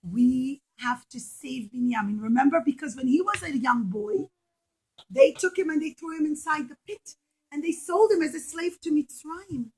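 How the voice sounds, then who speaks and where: A woman teacher telling a story to young children in an animated way, with simple, repetitive, emphatic phrasing. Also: high voice, moderate pace, rough recording.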